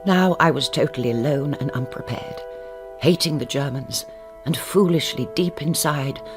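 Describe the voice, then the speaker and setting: A performer narrating as a wry, dry-witted storyteller, recounting with arch humour. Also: male voice, fairly high pitch, normal speed, lightly acted. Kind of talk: scripted narration. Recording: narration over a music bed.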